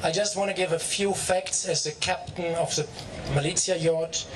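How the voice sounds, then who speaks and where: Yacht captain giving a factual speech outdoors. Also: male voice, a little high, fairly even intonation, moderate pace. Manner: factual, reports figures one by one. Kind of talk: public speech at an outdoor welcome. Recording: noisy outdoor crowd recording.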